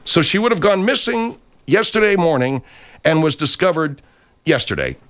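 Male radio host with a lively voice. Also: on the high side, moderate pace. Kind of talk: comedic radio talk.

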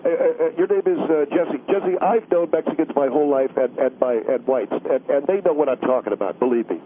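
Guy doing a smug pompous know-it-all pundit, kinda high voice, tinny phone line with faint hiss. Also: fairly quick, natural voice. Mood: condescending. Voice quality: pompous, self-righteous. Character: smug bigoted older male pundit, pompous and oblivious. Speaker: studio guest on a call-in radio show.

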